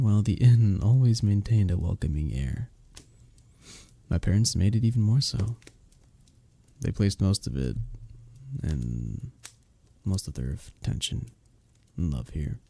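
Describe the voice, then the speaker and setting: Guy doing a soft medieval innkeeper man, low gravelly voice, formal and fond, asmr roleplay up close. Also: no echo, heavily acted. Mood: warm, shy. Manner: formal old-world phrasing, soft-spoken, measured. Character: gentle, flattered medieval village innkeeper man, fond and earnest. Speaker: audio roleplay performer. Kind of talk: ASMR roleplay, intimate one-sided conversation.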